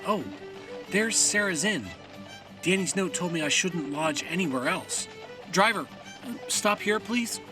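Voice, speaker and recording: Audio drama lead: wondering young male traveller, lighter high-ish voice, polite and a little hesitant. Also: expressive, unhurried, music in the background.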